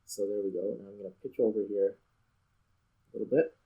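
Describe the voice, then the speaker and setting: Male gaming host, slightly low and raspy, casual play-along commentary, thinks out loud as he goes. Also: fairly even intonation, brisk, phone-quality sound. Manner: thinks aloud step by step. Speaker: host playing a space flight game.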